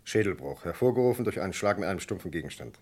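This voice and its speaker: A man's voice: sits low, lively intonation, very fast, a little mellow, breathy edge. An actor playing a cautious, businesslike police doctor in an old German radio crime drama, clinical in his manner. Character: brisk, cautious male police doctor reporting findings.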